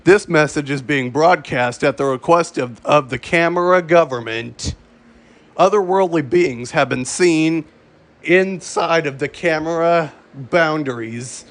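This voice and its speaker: A man reading a scripted mock emergency broadcast as an official announcer, halting and repeating phrases as he goes. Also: on the high side, fairly bright tone.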